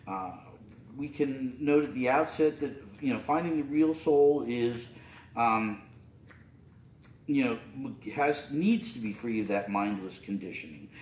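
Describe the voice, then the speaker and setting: A man's voice: mid-range voice, expressive, unhurried, darkish tone, slight background noise. A middle-aged man, a priest, giving a loose, unscripted sermon full of fillers and restarts from a pulpit in a small chapel. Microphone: camera at a distance. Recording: roomy, distant camera audio.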